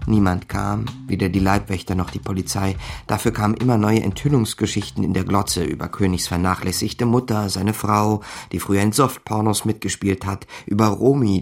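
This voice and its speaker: An actor playing a wry, rattled small-time crook who narrates a radio crime drama in sardonic slang and muttered curses. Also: male voice, sits low, creaky, a little mellow.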